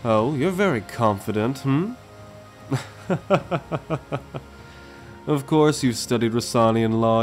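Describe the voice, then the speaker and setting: A man playing a confident, affectionate merman prince in a gentle, teasing, courtly manner for an ASMR roleplay. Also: mid-range voice. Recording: music under the voice.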